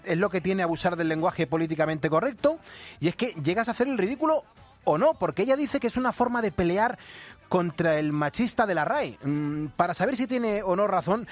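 A man hosting a morning radio show, brisk and jokey with his co-host. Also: high-pitched, animated, faint music behind. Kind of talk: lively radio chat. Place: radio studio.

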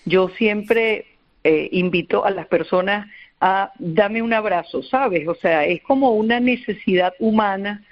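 A woman's voice: medium pitch, unhurried. A woman from Venezuela with a Venezuelan accent, answering earnestly as a radio interview guest and explaining things with repeated phrases for emphasis. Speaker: interview guest, volunteer psychologist. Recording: dull, band-limited radio call.

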